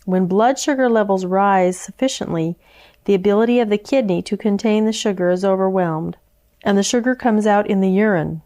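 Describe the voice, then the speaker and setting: Measured woman presenting a health lecture. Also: mid-range voice, warm tone. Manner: measured, explanatory.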